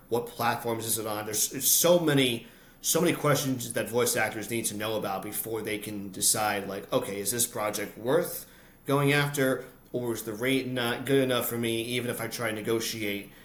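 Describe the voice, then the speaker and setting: A man's voice: lowish voice, fairly bright tone. An American man talking conversationally as an interview guest, telling personal stories. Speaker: interview guest, announcer and voice-over artist. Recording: roomy echo, noticeable background noise.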